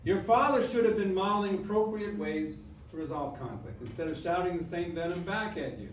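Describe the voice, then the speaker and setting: A man's voice: sits high, expressive, brisk. A man acting a playful, loving grandfather in a staged reading, with an emphatic, theatrical delivery. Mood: caring. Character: playful, loud, loving grandfather back from death. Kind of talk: staged musical reading, acted dialogue. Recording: distant, echoey room with faint noise.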